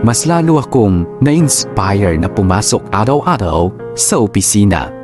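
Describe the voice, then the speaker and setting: A man's voice: lowish voice, very animated, unhurried. A man playing a lovestruck office worker, narrating a love story in the first person with dreamy, gushing delivery. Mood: dreamy, smitten. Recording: music playing behind the voice. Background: music.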